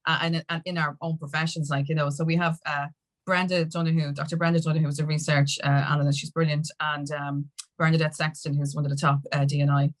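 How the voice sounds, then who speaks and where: An Irish woman talking as an interview guest in a rambling, halting, conversational way. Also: low voice, level tone. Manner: rambling, halting, with many "you know"s. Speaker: podcast guest, activist.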